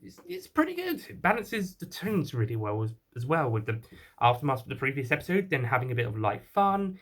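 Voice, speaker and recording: Guy reviewing stuff off the cuff, kinda rambly, keeps stopping and rewording what he says, mid pitched voice. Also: huge pitch swings. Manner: halting, rambling, often repeats and rephrases himself. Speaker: online reviewer of audio drama. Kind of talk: unscripted spoken review.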